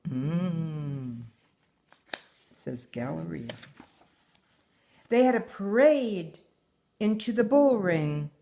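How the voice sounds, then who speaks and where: An older woman, a mother reading a children's story aloud in a measured, deliberate way. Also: lowish voice, lively intonation, mellow.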